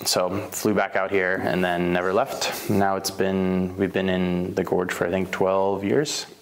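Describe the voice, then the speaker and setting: A man's voice: low-pitched, monotone, measured pace, slight background noise. A man from Slovenia who owns a bakery, telling his life story in a casual interview, rambling with fillers and self-corrections. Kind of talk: casual oral history interview.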